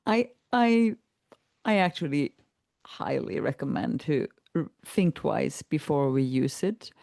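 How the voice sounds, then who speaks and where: A woman hosting a reflective conversation, explaining ideas calmly and laughing easily. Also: sits low, takes it slowly, vocal fry, no room sound, studio quality. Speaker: podcast host and trainer.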